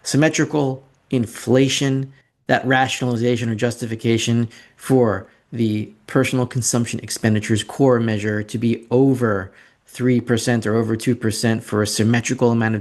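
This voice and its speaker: A man's voice: medium pitch, level tone, normal speed, darkish tone. A man hosting an informal financial commentary over slides, opinionated and sardonic, often repeating phrases for emphasis. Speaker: financial commentator and show host.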